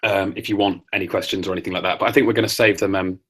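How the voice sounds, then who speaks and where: A man hosting a podcast, reading his own essays aloud and chatting offhand, his voice getting croaky. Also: sits low, steady tone. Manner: measured reading that turns to offhand asides. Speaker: podcast host.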